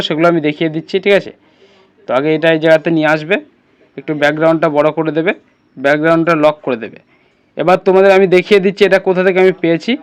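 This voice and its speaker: Guy doing a screen tutorial, talks fast, clear smooth voice, keeps checking you follow. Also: high-pitched, muffled recording. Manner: instructional, repeatedly checking understanding. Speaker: tutorial host. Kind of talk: informal step-by-step screen tutorial narration.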